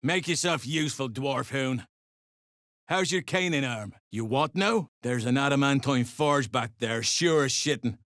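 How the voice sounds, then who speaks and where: An actor playing a gruff, greedy, foul-mouthed dwarf overseer in a video game, bossy and sarcastic in clipped phrases. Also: male voice, medium pitch, lively intonation, unhurried, a bit bright, no room sound, studio quality. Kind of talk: video game character acting.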